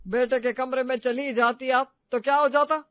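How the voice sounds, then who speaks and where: A man plays a pompous middle-aged father in a comic family drama, with a very high voice in a dry, dull recording. He blusters, boasts and scolds with a short temper. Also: steady tone, normal speed, clear, resonant tone, no echo. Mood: grumbling. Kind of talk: Hindi comedy story dramatization.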